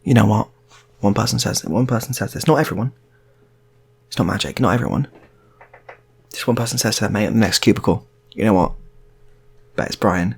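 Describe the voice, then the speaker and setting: A man's voice: fairly low pitch, fairly quick, gravelly, long pauses, husky. A man telling an improvised story in a casual, rambling way, often repeating his phrases.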